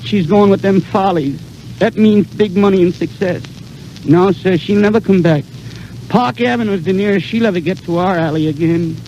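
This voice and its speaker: An actor playing a dejected, bitter young tenement lad in plain street talk, in a 1930s radio drama. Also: male voice, high-pitched, moderate pace. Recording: muffled 1930s radio broadcast.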